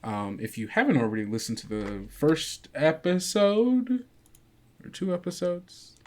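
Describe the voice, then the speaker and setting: A game master and podcast host with a medium-pitched voice. The talk is casual and informal, full of joking asides between bits of narration. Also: expressive, unhurried, a little mellow.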